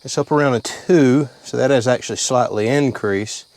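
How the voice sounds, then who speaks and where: A man's voice: mid-range voice, moderate pace, a little mellow. A man, talking through a hands-on how-to in a casual, plain-spoken way from inside a vehicle. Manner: plain-spoken, step-by-step explaining. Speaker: channel host.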